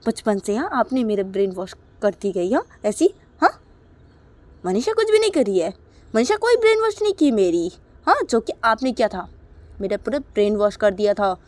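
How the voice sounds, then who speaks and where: A woman's voice: medium pitch, lively intonation, normal speed, a little mellow, no room sound, lightly acted. A woman voicing an angry, defiant young daughter in an audio drama, arguing indignantly and repeating her phrases insistently. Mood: accusing.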